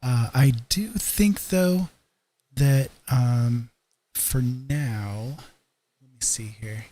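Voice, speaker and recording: A man with a mellow, relaxed tone hosts a livestream. He chats casually, musing aloud in an easygoing manner at an average pitch. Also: extremely slow. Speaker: livestream host.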